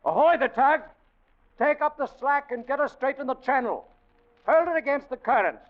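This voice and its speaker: An actor playing an urgent ship's officer shouting orders in an old-time radio drama. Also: male voice, very high voice, lively intonation, normal speed. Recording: tinny, very noisy vintage radio recording.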